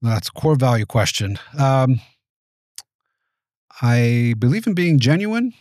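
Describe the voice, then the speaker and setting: Measured man in his forties. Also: mid-range voice, mellow, no echo, clean studio sound. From United States. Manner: measured, thoughtful, with frequent restarts. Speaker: guest, venture capitalist. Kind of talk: reflective interview answers. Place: small podcast studio. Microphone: studio mic on boom arm.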